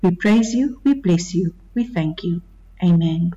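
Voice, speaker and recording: A woman hosting a faith show, praying earnestly and then gently interviewing her guest. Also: lowish voice, animated, muffled recording. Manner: earnest, prayerful, unhurried. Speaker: radio show host.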